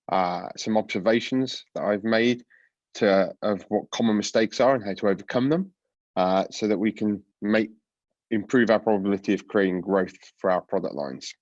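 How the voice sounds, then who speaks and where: A man with a cold, sounding congested, presenting a product webinar with a relaxed manner and many fillers. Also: lowish voice, no room sound, highs cut off. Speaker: product coach giving a webinar talk. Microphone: video call.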